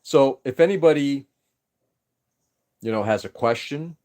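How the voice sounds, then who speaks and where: A man's voice: medium pitch, unhurried, darkish tone. A man whose first language was Greek, chatting casually on a livestream, rambling and greeting viewers by name. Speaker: livestream host.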